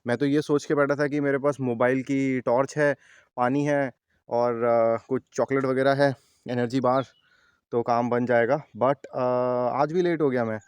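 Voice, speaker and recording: A man's voice: mid-range voice, fairly even intonation, a little slow, smooth, dry recording. A man from India narrating a travel vlog outdoors on a mountain trail, talking straight to his viewers in a chatty way while filming on a handheld camera. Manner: chatty, talks directly to viewers. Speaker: travel vlogger.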